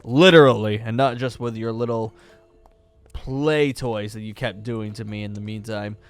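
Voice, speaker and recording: A man's voice: on the low side, fairly even intonation, moderate pace, smooth, no echo, soft background music, lightly acted. A man playing a laid-back, practical gunslinger in a Minecraft roleplay, talking in a casual, matter-of-fact way. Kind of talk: improvised Minecraft fantasy roleplay acting.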